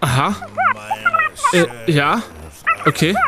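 An actor playing a young student detective and jaunty team leader in an audio drama, commanding and given to quaint sailor slang. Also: male voice, a little high, slow pace, over loud music. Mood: polite, businesslike. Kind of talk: German audio drama acting.

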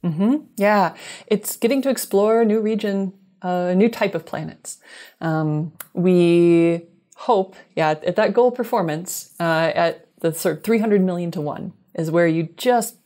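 Low-voiced woman explaining science. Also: measured pace, mellow. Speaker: scientist interview guest. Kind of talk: explanatory interview conversation. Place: small room indoors, video call. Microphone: wired earbuds headset on video call.

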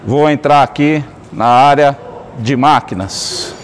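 A man's voice: medium pitch, takes it slowly, slight background noise. A middle-aged man, an executive, guiding viewers step by step through a digital fair on a touchscreen in a large store. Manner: steady explanatory tone, narrating each click. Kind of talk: product walkthrough.

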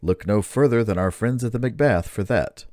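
A man's voice: fairly low pitch, moderate pace, darkish tone, slight background noise, natural voice. A man playing a genial host of an old-fashioned radio program, announcing. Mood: showmanlike.

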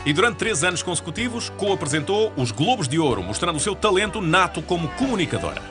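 A man with a European Portuguese accent reading scripted narration for a TV channel promo in a smooth, even announcer delivery. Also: sits high, quick pace. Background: music bed.

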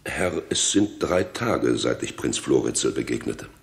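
An aging royal counsellor in a sixties radio play. His voice is extremely low and full of creak. He speaks formally and with deference, a loyal, homesick courtier. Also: lively intonation, measured pace, full character voice. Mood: deferential, grave. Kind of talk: 1960s German radio drama acting.

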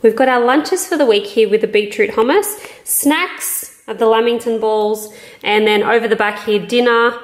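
A woman's voice: a little high, moderate pace, soft background music. A woman in her thirties with an Australian accent, casually explaining a cooking routine to camera in a home kitchen. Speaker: health vlogger. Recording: echoey kitchen with background noise.

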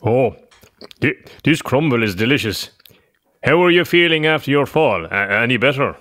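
A man playing a gentle, affable Irish father in a children's audio drama. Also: mid-range voice, expressive, normal speed, close to an everyday voice.

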